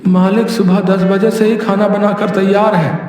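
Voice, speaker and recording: Man doing a high-pitched deferential servant, echoey. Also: little pitch movement, moderate pace, a little mellow, far from the mic, lightly acted. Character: deferential male servant and caterer, eager to please. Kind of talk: cartoon story dialogue. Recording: very echoey, music underneath.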